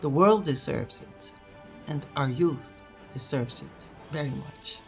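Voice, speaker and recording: An earnest speaker gives a motivational message, pausing often with fillers and restarts, at a mid-range pitch. Faint music sits behind the voice. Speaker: speaker at a book launch.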